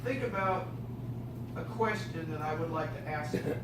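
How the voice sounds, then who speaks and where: An older woman giving a loud, blunt, scornful public comment at a city council meeting. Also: low-pitched, moderate pace. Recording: distant voice in echoey room, noisy.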